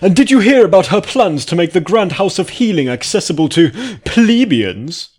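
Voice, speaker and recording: Man as a high, snarling, outraged noble pony. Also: sing-song, normal speed, a little mellow, slightly rough, heavily acted. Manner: snarling, spluttering, elitist. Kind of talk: dramatic fan fiction reading.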